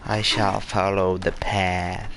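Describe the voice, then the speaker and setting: A man's voice: low voice, flat delivery, takes it slowly, fairly bright tone, slight background noise, rough recording. A man streaming a game with casual commentary, muttering short remarks to himself.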